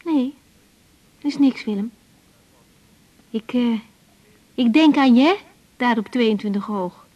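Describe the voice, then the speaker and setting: An actress playing a tender, guarded young doctor in a naturalistic radio drama, speaking softly and hesitantly on a slightly dull recording. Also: female voice, a little high. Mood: affectionate, guarded. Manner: hesitant, soft, halting.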